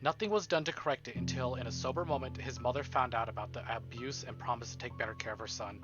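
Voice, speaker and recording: A man streaming a visual novel, reading text aloud and commenting with free swearing in an animated manner. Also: mid-range voice, quick pace, muffled recording. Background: game music.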